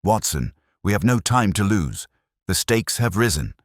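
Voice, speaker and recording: A man voicing a brilliant, intense detective in an audiobook, commanding and cryptic, with deliberate, rhetorical delivery. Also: fairly low pitch, sing-song, no echo, studio quality, pitched above the performer's own voice. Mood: urgent.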